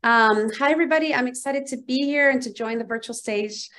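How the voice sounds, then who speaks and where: A woman's voice: high-pitched, fairly quick, a bit bright. Upbeat woman from Venezuela on a video call. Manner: enthusiastic, many "um" fillers. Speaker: panel speaker, PR director. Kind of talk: virtual panel talk. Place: office at home, indoors.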